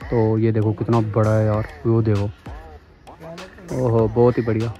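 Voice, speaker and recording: A man's voice: lowish voice, completely flat delivery, unhurried, mellow, soft background music. A man vlogging a casual walking tour of a zoo, chatty and enthusiastic, often exclaiming, recorded outdoors on a handheld camera. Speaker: travel vlogger.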